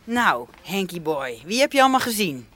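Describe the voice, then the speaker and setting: An actress playing a dry, mocking, needling colleague at a radio show in a radio comedy. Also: female voice, medium pitch, very animated, moderate pace, fairly bright tone. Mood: mock-eager needling.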